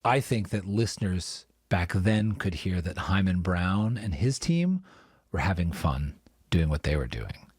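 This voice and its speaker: A man with a husky voice speaking conversationally in an interview as a sound designer. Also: low-pitched, sing-song, unhurried, darkish tone, no room sound, studio quality.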